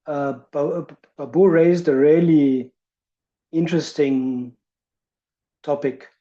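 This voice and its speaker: Slow, thoughtful woman who stutters. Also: deep voice, mellow. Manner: stutters with repetitions and blocks, thoughtful. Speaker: presenter. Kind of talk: reflective talk. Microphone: video call. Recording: muffled video call audio.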